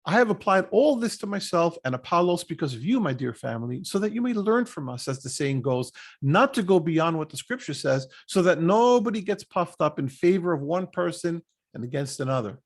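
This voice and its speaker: A man reading scripture aloud expressively, with an emphatic, deliberate teaching delivery. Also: fairly high pitch, very animated. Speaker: scripture reader.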